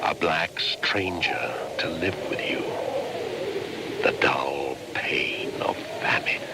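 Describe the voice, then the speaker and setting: Very deep, slow ancient shaman spirit. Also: heavy background noise. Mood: ominous, incantatory. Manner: slow, incantatory, breaking into hushed whispers. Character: ancient male shaman spirit of midwinter, solemn and menacing. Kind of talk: dramatic radio play monologue.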